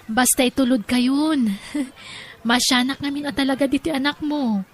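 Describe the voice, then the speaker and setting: A woman with an Ilocano accent playing a kind, soft-hearted young woman in an audio drama, speaking gently and expressively. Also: high voice, steady tone, normal speed. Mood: warm.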